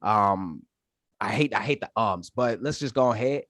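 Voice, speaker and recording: Young man from Detroit, podcast guest, slangy and animated, very dry close sound. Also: on the low side, normal speed, no echo. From Detroit, Michigan, USA. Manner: animated, slangy storytelling with swearing. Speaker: podcast guest, Marine staff sergeant. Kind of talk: casual podcast chat.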